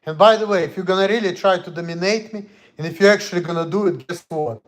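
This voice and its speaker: Blunt Russian-accented man, high voice. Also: fast. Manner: blunt, heated, speaks in broken English. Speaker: streamer. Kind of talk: casual livestream chat.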